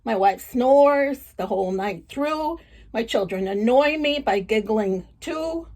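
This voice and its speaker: A woman's voice: a little high, lively intonation, slow, a little mellow. A woman storyteller voicing a weary man who complains miserably that he cannot sleep. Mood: complaining.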